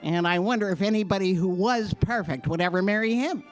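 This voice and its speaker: A woman's voice: medium pitch, a little fast, over music, close to an everyday voice. A woman playing a deferential, sensible royal attendant in a children's audio play, formal and tactful. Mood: wistful. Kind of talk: children's audio theater acting.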